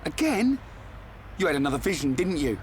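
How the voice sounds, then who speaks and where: An actor playing a brash, excitable young warrior with a blunt, loud manner in a fantasy game drama. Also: male voice, a little high, fairly quick, slight background noise. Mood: impatient. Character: brash, excitable, big-hearted young male warrior sidekick.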